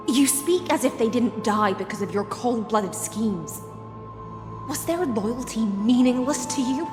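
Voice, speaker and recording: A loyal but reproachful knight commander from a video game, speaks gravely in a lightly raised register. The accusing, restrained voice echoes through a reverberant hall over clear music. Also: female voice, a little high, expressive, slow pace, long pauses. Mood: bitter, betrayed. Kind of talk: video game drama dialogue.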